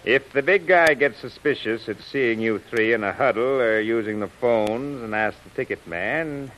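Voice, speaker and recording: A man's voice: medium pitch, normal speed, lo-fi sound, natural voice. An actor playing a calculating, commanding male crime boss in a 1930s radio serial style. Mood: approving, commanding. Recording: hissy, noisy old radio recording.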